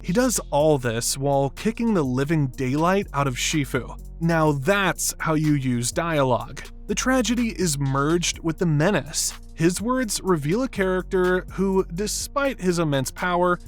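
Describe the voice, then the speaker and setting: Male video essayist, somewhat high-pitched, reading scripted commentary over background music. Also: big pitch swings, a little slow, few pauses. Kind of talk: scripted narration, film analysis.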